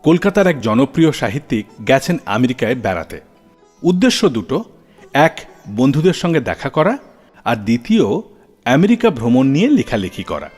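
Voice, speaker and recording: This is a male radio host, narrating scripted stories. His delivery is lively and dramatic, swinging up and down with deliberate pauses. The voice sits in long reverb over a music bed. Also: medium pitch, slightly rough.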